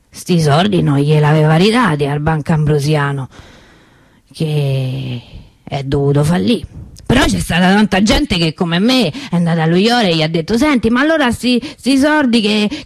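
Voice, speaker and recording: An actress playing a chatty, cynical working-class souvenir hawker with a Roman accent in a radio monologue. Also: female voice, medium pitch, no room sound, clean studio sound, natural voice. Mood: confiding storytelling, then animated recounting. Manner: rambling street storyteller, wry, colloquial. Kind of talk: colloquial theatrical monologue for radio.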